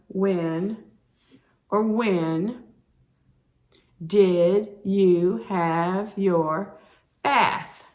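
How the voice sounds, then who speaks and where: A woman teaching sign language, explaining each sign slowly and repeating the words as she demonstrates. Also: mid-range voice. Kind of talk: slow instructional explanation.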